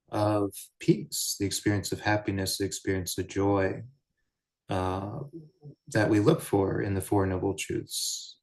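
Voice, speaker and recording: Very slow, low-voiced male meditation guide. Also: a little mellow. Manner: measured, deliberate, short phrases with pauses. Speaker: meditation teacher. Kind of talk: guided meditation, unscripted Buddhist teaching.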